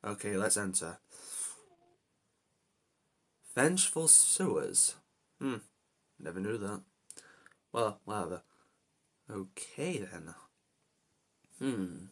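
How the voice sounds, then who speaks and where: A man's voice: on the low side, sing-song, takes it slowly, long pauses, full character voice. A man voicing an anxious, protective young adventurer in amateur character lines, talking to himself with dramatic exclamations. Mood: relieved, puzzled. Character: anxious, reluctant young adult male adventurer hero, protective.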